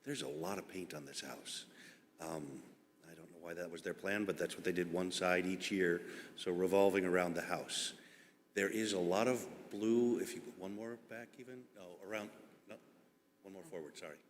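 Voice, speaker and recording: A man giving informal public testimony as an applicant, explaining earnestly and haltingly with pauses. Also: low voice, fairly even intonation. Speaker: applicant, homeowner at a public hearing. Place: indoor public meeting room. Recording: echoey meeting hall with background noise.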